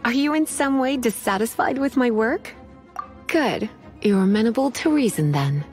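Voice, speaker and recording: A woman's voice: fairly high pitch, expressive, normal speed, darkish tone, natural voice. A woman playing a poised, languid librarian mage in a video game, formal in wording, teasing and faintly menacing. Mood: coy, composed. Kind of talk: video game character acting. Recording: music behind the voice.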